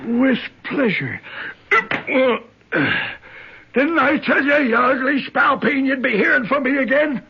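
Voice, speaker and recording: Taunting sidekick, extremely high male voice, animated, gleeful, radio drama, dull broadcast. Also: slow, exaggerated voice. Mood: vengeful glee. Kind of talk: 1970s radio drama acting.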